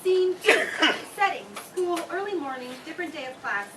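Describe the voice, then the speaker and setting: A woman's voice: very high-pitched, animated, moderate pace. A woman reading out stage directions as a plain narrator at a live play reading. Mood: matter-of-fact. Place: read before a live audience, far camera. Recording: very noisy, distant room recording.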